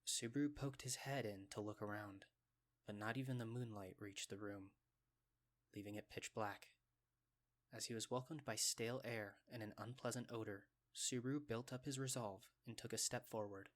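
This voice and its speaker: A man reading scripted story narration, with a measured, descriptive storytelling delivery. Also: on the low side, fairly even intonation.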